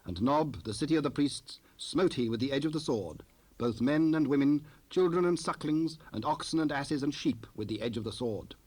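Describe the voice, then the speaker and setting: A man narrating a dramatized scripture recording in a formal, measured storytelling manner. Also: medium pitch, expressive, darkish tone, close to an everyday voice.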